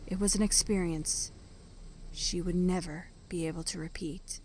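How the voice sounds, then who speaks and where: A woman reads a dramatic scripted story as narrator, letting phrases fall into a hushed breath. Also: on the low side, fairly even intonation, normal speed, rough recording. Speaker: narrator of a fan fiction audio production. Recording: background music under voice. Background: music.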